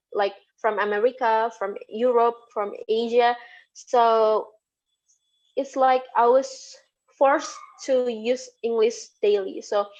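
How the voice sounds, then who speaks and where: Slow, halting Indonesian woman being interviewed. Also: a little high. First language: Sundanese. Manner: hesitant, halting, repeats words. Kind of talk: casual interview answers. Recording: dull, lo-fi audio.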